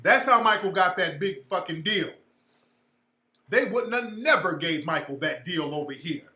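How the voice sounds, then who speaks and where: Male commentator, high bright voice, emphatic and profane, echoey room. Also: expressive, moderate pace. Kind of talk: casual commentary.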